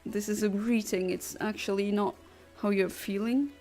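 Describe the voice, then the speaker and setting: Gravelly woman. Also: mid-range voice, fairly even intonation, moderate pace, mellow, faint music behind. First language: Luxembourgish. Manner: blunt, literal and chatty, with many restarts. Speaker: streamer. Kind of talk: casual chat answering a livestream chat.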